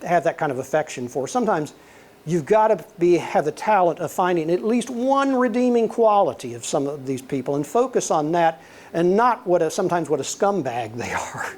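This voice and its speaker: An older man speaks with a soothing voice that sits a little high for a man. He lectures in a relaxed, conversational way, weaving in anecdotes and acting out dialogue. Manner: relaxed storyteller, acts out quoted dialogue. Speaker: guest lecturer, former intelligence officer.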